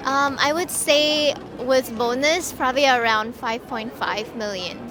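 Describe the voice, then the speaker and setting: Brisk woman from Vietnam, noisy. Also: high-pitched. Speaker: designer. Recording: noticeable background noise.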